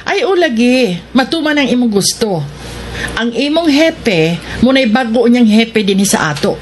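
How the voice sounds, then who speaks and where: A woman's voice: fairly high pitch, expressive, unhurried. A woman acting a sly, self-assured schemer in a radio drama. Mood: smug. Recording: radio drama with noisy ambience. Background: noisy ambience.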